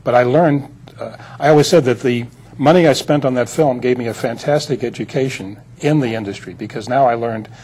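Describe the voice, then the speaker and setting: A man's voice: medium pitch, brisk, darkish tone, breathy edge, rough recording. An older man being interviewed as a producer, reminiscing in a rambling, anecdotal way. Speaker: interview guest, film producer.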